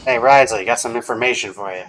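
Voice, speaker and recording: Casting: male streamer, medium pitch, rapid offhand playthrough commentary. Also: fairly bright tone. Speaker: game streamer.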